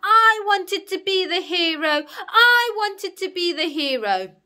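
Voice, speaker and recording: A woman's voice: very high-pitched, sing-song, slow, pitched above the performer's own voice, heavily acted. A woman voicing a big, muscular, hot-tempered storybook bully boy who is wounded underneath, with emotional outbursts. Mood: screaming, aggrieved. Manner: loud, growling, emotional outbursts.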